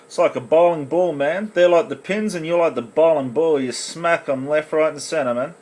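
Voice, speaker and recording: Laid-back male radio hobbyist, voice a little high, slangy casual chat picked up on a phone. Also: normal speed, a little mellow. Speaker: amateur CB operator filming on phone. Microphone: phone recording in the radio room.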